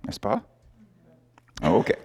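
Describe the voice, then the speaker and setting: A man's voice: slow pace, darkish tone. A man preaching a sermon, emphatic and often laughing as he acts out little exchanges.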